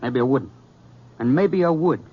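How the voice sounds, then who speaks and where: A man's voice: mid-range voice, lively intonation, normal speed, husky. An actor playing a stubborn young man of the Old West in a radio western, with an American accent and rural drawl. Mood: defiant. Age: young adult. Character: headstrong, defiant young cowboy, sheriff's younger brother. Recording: muffled vintage radio recording.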